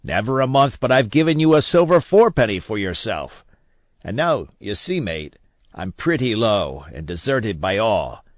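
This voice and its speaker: A man voicing a weak, feverish old sea captain who curses and pleads in rough sailor talk. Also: on the low side, sing-song, normal speed, no room sound, clean studio sound. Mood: pleading, grumbling. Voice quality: feeble, wheedling.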